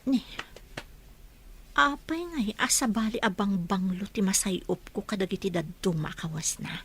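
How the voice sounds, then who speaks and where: A woman's voice: mid-range voice, a little slow, slight background noise, natural voice. A woman playing a wife and mother in a radio drama. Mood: puzzled, suspicious. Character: affectionate mother and wife growing suspicious.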